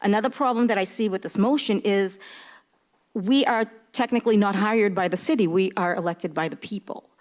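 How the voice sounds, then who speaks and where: A woman's voice: mid-range voice, fairly quick, darkish tone. A woman in her fifties speaking as a city councilor at a meeting, plain-spoken and arguing her point. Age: 50s. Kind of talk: formal remarks at a council meeting. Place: indoor public council meeting room.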